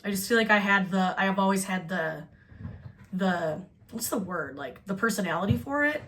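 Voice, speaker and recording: Young woman, podcast host, chatty and animated, from upstate New York. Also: mid-range voice, moderate pace, a little hiss or hum. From Buffalo, New York. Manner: chatty, animated, trails off and restarts. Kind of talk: casual chat.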